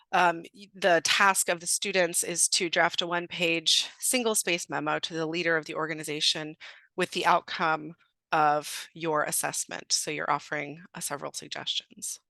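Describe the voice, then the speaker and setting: A woman stepping in to co-present at an academic talk, halting and filling pauses with ums. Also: fairly low pitch, bright, forceful tone, dry recording. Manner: halting, many fillers.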